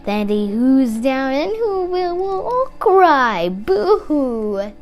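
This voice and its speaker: Storybook villain, male, sly and scheming played by a woman, very unhurried delivery, music playing behind. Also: high voice, big pitch swings, heavily acted. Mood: gloating. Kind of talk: children's storybook read-aloud.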